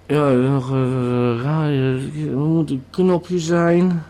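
A high-voiced actor as a dazed man in an audio play, pleading and insistent. He speaks haltingly, circling back to the same few phrases. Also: steady tone, mellow. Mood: dazed, uncertain. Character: dazed, pleading man in Marbella needing a lie-down. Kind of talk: improvised-sounding Dutch audio drama.

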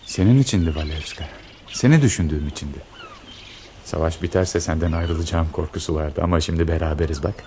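Radio play lead: earnest, devoted lover on the run, low-pitched voice, protective toward his beloved. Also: brisk, warm tone, slight background noise. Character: devoted male lover, protective fugitive planning escape. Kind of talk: radio drama acting.

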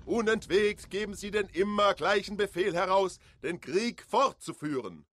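A man's voice: high voice, moderate pace, a bit bright. A man narrating in a scripted documentary style.

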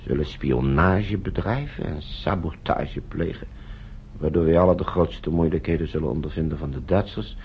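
A dull, slightly noisy vintage radio recording holds an extremely deep male voice. It is a stern propagandist, declaiming pompous incitement as in a radio play. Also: gravelly, full character voice. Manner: pompous, oratorical. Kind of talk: declaimed radio drama reading.

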